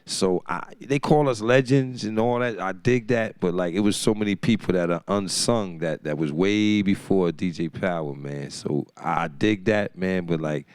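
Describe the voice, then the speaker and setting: A man's voice: low-pitched, moderate pace, few pauses, a little mellow, no echo, clean studio sound. A man who is a hip-hop DJ, talking in a laid-back, slangy way as a guest and hyping up the people around him. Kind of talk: hype MC talk.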